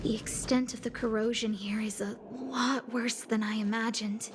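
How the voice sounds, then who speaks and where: A woman's voice: a little high, steady tone, moderate pace, very noisy, lightly acted. A woman voicing an earnest, caring young woman in a video game, polite and thoughtful. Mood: worried.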